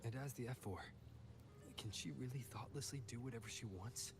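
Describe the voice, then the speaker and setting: An actor playing a serious, wary young warrior champion in a video game, earnest and composed. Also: male voice, mid-range voice, fairly quick, natural voice. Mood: wary, pensive. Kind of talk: scripted video game dialogue. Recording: loud game music behind the voice. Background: game music.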